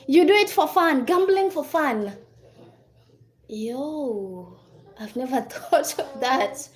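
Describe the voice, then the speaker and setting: Kenyan lady, pretty high voice, just chatting on her live, reading out comments n thanking folks. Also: darkish tone. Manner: chatty, reads and answers viewer comments, thanks viewers by name. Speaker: livestream host. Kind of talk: casual livestream chat.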